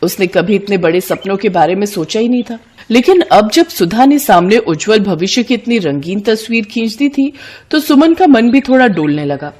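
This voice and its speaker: A woman storyteller reads a children's moral tale. Her voice sits at a middle pitch, in a scripted narration style. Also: animated, normal speed, a little mellow.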